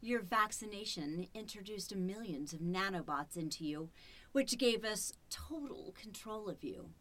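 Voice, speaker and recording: Woman playing a slow, sinister household robot. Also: on the low side, a bit bright. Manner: slow, deliberate, condescending. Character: sinister female household robot, coolly menacing and controlling. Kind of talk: old-time radio horror acting.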